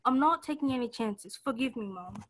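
A woman's voice: fairly high pitch, expressive, a little fast, background noise, lightly acted. A young woman acting in a radio play as a meek, deferential, worried serving girl. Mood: anxious, pleading. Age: young adult.

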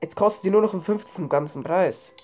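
A woman's voice: lowish voice, quick pace, warm tone, faint music behind. A woman with a cold giving offhand, casual commentary while playing a game at home. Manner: offhand, mixes English game terms. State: has a cold. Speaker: let's play gamer.

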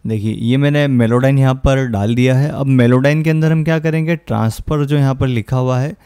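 A man giving an informal, step-by-step software tutorial as a music production host. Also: mid-range voice, fairly even intonation, a little fast, clear, resonant tone, dry recording, clean studio sound. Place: close, dry indoor voice-over.

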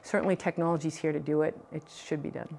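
A middle-aged woman from Boston, a political candidate answering questions in a TV studio interview, earnest and measured, recorded on a lapel mic. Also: low voice, fairly even intonation, vocal fry, a little mellow. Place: TV news studio.

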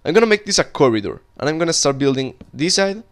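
A man's voice: on the high side, animated, quick pace. A young man from Colombia, a native Spanish speaker with a Colombian accent, rambling casually while commenting on his gameplay. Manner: rambling and chatty, talking while playing, frequent restarts. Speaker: gaming video creator.

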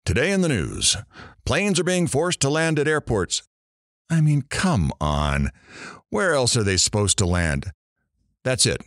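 A man's voice: mid-range voice, very animated, measured pace, pauses often, fairly bright tone, no room sound, clean studio sound. A man playing a brash, wisecracking morning radio host in an audio drama, with sarcastic, theatrical delivery. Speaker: radio talk show host.

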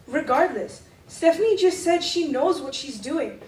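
A woman's voice: high-pitched, lively intonation, a little slow, a little mellow. A teenage girl playing an anxious, polite young hiker in a school radio drama on an echoey stage. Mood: nervous. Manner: nervous. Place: indoor theatre stage. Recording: echoey, noisy hall, distant microphone.

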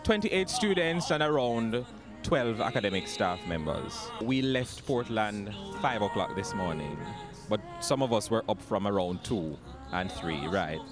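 A man's voice: on the low side, lively intonation, measured pace, music in the background. A man in his thirties with a Jamaican accent, speaking emphatically in an outdoor interview on a handheld microphone. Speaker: interviewee, school representative. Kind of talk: casual spoken interview. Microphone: handheld reporter microphone.

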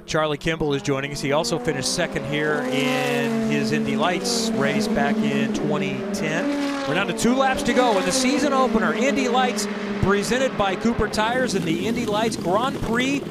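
High-pitched male race commentator. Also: normal speed. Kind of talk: live motorsport TV commentary. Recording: loud engine and trackside noise. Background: racing cars at a track.

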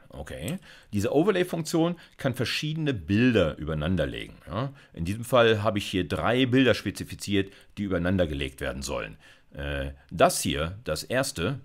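Deep-voiced, very animated lecturer, a man. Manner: informal, conversational, with frequent check-in tags. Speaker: university computer science lecturer. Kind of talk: explanatory lecture to camera.

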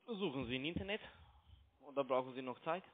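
A man with a foreign accent and non-native, halting German with broken grammar, making an unscripted sales call over the phone as a broker. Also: medium pitch, expressive. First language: not German. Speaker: cold caller posing as a broker. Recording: tinny narrow phone line.

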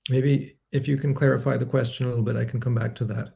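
Thoughtful man with a gravelly, mellow voice. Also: mid-range voice, flat delivery. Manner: thoughtful, measured, with frequent fillers and self-corrections. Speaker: composer hosting a livestream Q&A. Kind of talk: reflective, unscripted answers to viewer questions. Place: home study lined with bookshelves. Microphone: webcam framing at desk, livestream.

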